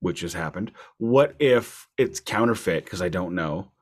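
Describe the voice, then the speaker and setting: A man hosting a podcast, chatting casually about music in a wry way, leaning deliberately on key words. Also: low-pitched, lively intonation, husky. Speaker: podcast host.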